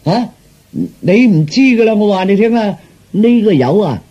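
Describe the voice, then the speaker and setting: A man voicing an excitable, wisecracking young male cousin in a Cantonese comic radio play, exclaiming and teasing with emphasis. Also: high voice, moderate pace, muffled recording. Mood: indignant.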